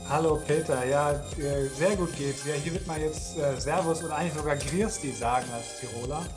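A man's voice: a little high, normal speed, over music. A man from the Ruhr area, a sales manager as podcast guest, answering in a friendly, rambling way with many fillers. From Germany (Dortmund, Ruhr area). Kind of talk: conversational interview answer.

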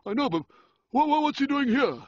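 A man's voice: extremely high pitch, big pitch swings, a little fast, dry recording, dull audio, pitched above the performer's own voice. A man voicing a flustered, blustering old man in a storybook reading, stammering and exclaiming. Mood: baffled, irritated.

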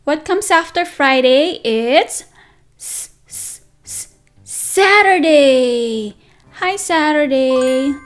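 A woman teaching a circle-time lesson to toddlers, speaking in a slow, sing-song, encouraging way and gasping with praise. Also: high voice, animated, faint music behind. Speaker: preschool teacher.

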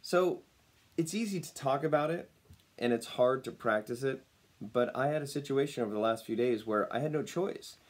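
A man in his thirties speaks to a desk webcam from a room at home, his voice still a little raspy after losing it. He talks in an upbeat, smiling way and chuckles often. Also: mid-range voice, moderate pace. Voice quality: slightly raspy. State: recovering from lost voice. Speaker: personal branding speaker. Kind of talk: casual talk to camera. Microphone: webcam at desk distance.

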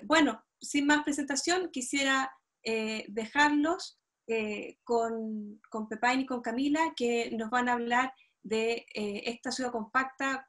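A woman with a slightly high voice moderates an online panel over a video call. She introduces guests in a halting way, pausing to find her words. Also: fairly bright tone. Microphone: video call microphone.

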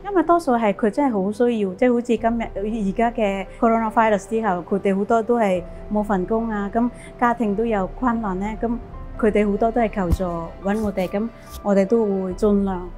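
A woman's voice: mid-range voice, fairly quick, faint music behind. A woman in her thirties from Indonesia, a service-centre worker, speaking hesitantly with frequent er fillers in a documentary interview. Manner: warm-hearted, hesitant with er fillers. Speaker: program volunteer and staff.

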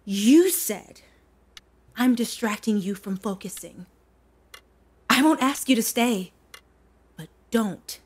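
An actress playing a worried, exasperated young wife in an audio drama, loving but firm, emotional and reproachful. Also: female voice, mid-range voice, breathy edge. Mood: quiet resignation. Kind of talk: audio theatre acting.

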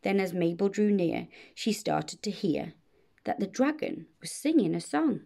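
A woman narrates a rhyming children's story. She takes it slowly and expressively, measuring out each phrase. Her tone is mellow, with a noticeable creak in it. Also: mid-range voice. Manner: measured, expressive bedtime reading. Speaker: storybook reader.

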